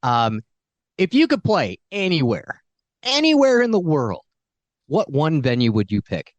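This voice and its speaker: A woman's voice: sits low, wildly swooping pitch, unhurried, dry recording. An American woman co-hosting a casual interview, chatty and enthusiastic. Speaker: interviewer, co-host.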